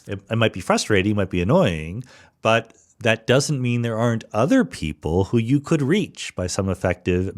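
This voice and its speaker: A middle-aged man hosting a podcast, answering listener questions in a relaxed, thoughtful monologue with frequent fillers and restarts. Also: on the low side. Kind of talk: casual solo monologue.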